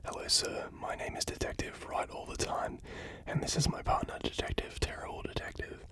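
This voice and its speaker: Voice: brisk, lightly acted. A reader whispering the lines of a wisecracking young male detective, full of sarcastic quips.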